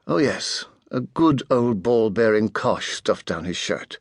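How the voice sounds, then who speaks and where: Man voicing a soft, clipped, haughty teenage boy mastermind. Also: on the low side, expressive, takes it slowly, dry recording, clean studio sound, full character voice. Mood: haughty, testy. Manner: clipped, precise, formal speech. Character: pale adolescent boy mastermind, testy, haughty, authoritative.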